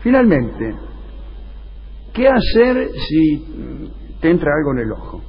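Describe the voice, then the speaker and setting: A man's voice: on the high side, big pitch swings, takes it slowly. A man with an Argentine Rioplatense accent hosting a radio comedy show, telling absurd stories in a mock-serious way as improvised dialogue. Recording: dull, noisy radio recording.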